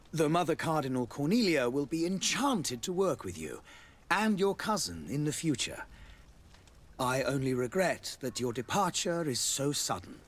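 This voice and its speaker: A suave religious ambassador in a fantasy video game. His voice sits fairly high for a man and swings widely in melody, with a husky grain. He is courtly, florid and pompous, laying on the diplomacy with unctuous care. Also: fairly bright tone, full character voice. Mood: courtly, flattering. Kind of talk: video game dialogue acting.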